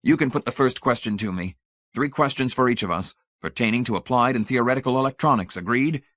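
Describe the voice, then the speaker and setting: A man's voice: mid-range voice, fairly quick, a bit bright, no room sound, clean studio sound, voice pushed up, natural voice. A man doing a blunt, irritated engineer. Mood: challenging. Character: exasperated male electronics expert, blunt and skeptical. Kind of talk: audiobook character voice.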